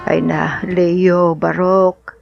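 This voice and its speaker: A woman's voice: lowish voice, flat delivery, extremely slow, vocal fry, highs cut off, loud music behind the voice, full character voice. An actress with an Ilocano accent playing a weary, ashamed middle-aged mother in a radio drama, lamenting and apologetic. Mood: ashamed, sorrowful.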